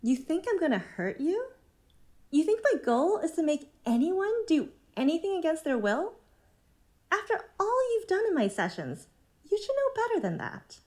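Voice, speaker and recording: A woman acting a manipulative cult leader in an audio drama, speaking in a measured, condescending, therapist-like way. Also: extremely high pitch, very animated, darkish tone. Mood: mocking, challenging. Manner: measured, patronising, therapist-like phrasing.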